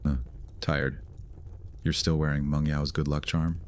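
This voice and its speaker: Male actor as a sarcastic, teasing vampire. Extremely deep, casual delivery. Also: level tone, a little slow. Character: cocky male vampire loner, sardonic and teasing. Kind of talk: fantasy audio drama acting.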